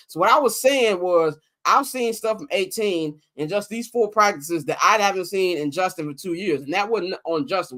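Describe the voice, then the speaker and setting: A man with a notably high voice talks through a sports recap in loose, slangy chat. He gets animated and slips into quick impressions of what others told him. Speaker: podcast co-host.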